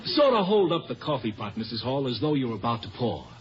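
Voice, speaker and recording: An actor playing a smitten young magazine photographer in a 1950s radio comedy, brisk, polite and flattering while bossing people around. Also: male voice, medium pitch, crowd noise, natural voice. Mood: brisk directing. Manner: polite but bossy, flattering. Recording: muffled, noisy old radio recording.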